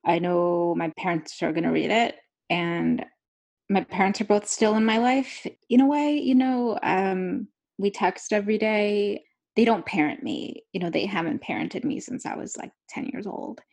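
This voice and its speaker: Breathy woman, rambling and candid. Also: mid-range voice, normal speed, darkish tone. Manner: rambling, many "like" fillers, laughing asides. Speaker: author. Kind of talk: candid conversational talk.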